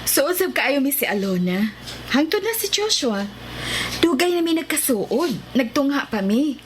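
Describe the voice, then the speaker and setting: An actress with a Visayan Filipino accent playing an easygoing, obliging beauty parlor worker in a radio drama. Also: female voice, high-pitched, big pitch swings, measured pace, slight background noise.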